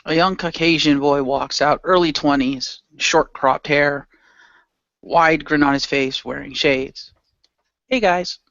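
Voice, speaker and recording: A man's voice: on the high side, fairly even intonation, measured pace, gravelly, a bit bright, no room sound, lo-fi sound. A man reading scene descriptions as narrator of an amateur radio play.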